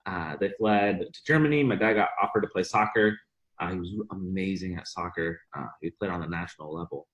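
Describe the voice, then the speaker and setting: A man's voice: sits low, a little fast, fairly bright tone, dull audio. Upbeat young man from Bosnia. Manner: upbeat, enthusiastic storytelling, laughs often. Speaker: podcast guest, entrepreneur. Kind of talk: casual interview chat.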